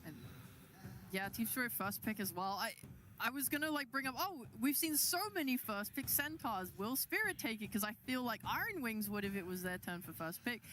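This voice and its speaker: Bright-voiced female esports analyst. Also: a little high, lively intonation, normal speed, slight background noise. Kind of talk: casual panel analysis.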